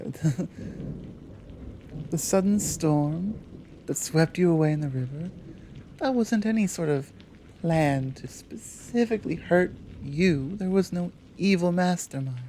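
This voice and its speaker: A woman playing a gentle, self-assured Amazon rescuer in an intimate ASMR roleplay, speaking softly with deliberate pauses. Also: fairly low pitch, lively intonation, a little hiss or hum. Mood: gentle, reassuring. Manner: soft, unhurried delivery with deliberate pauses. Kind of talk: intimate ASMR roleplay, one-sided conversation.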